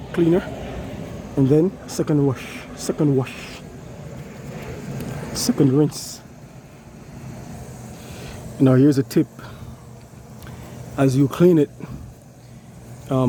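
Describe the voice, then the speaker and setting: A hoarse man who has lost his voice, casually explaining an air conditioner cleaning while he works outdoors. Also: on the high side, mellow, a little hiss or hum. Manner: loose, repetitive, talks while working. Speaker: HVAC technician. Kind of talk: casual how-to demonstration.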